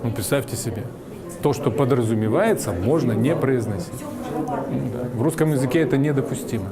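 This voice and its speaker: A man's voice: medium pitch, animated, brisk, over a noisy crowd. A man teaching English, lecturing an audience on his method in an emphatic, explaining way and repeating his key points.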